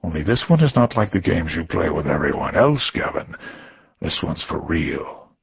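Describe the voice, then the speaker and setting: A man voicing a menacing male caller who speaks low and breathy, drawing out each syllable in a condescending way. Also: very animated, dry recording, muffled recording, heavily acted. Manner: condescending, slow and whispery.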